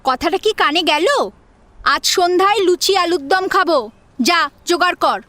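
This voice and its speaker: Woman acting a bossy, high-voiced spoiled young woman. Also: expressive, measured pace, bright, forceful tone, no room sound, full character voice. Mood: impatient, bossy. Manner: imperious, demanding. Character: bossy, spoiled young woman ordering her sister around. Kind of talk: Bengali audio story acting.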